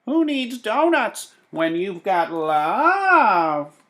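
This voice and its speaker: Man putting on a high, dramatic old woman. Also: very animated, fairly bright tone, exaggerated voice. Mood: wistful. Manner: drawn-out, theatrical delivery. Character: sad old woman, frail, dramatic, grateful. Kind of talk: animated storybook character reading.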